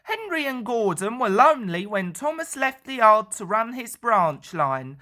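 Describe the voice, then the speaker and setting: A woman's voice: fairly high pitch, very animated, a little slow, pushed and bright. A woman narrating a children's storybook as a storyteller.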